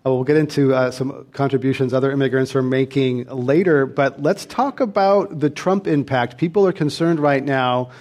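Man, host, moderating panel, brisk questions. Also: mid-range voice, a little mellow. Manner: upbeat, brisk introductions and questions.

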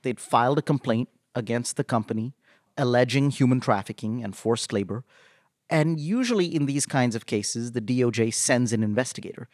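Male interview guest, labor organizer type, average pitch, measured and halting narrative delivery, dry recording. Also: a little mellow, dry recording. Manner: halting, measured storytelling with pauses. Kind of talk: recounting a story in an interview.

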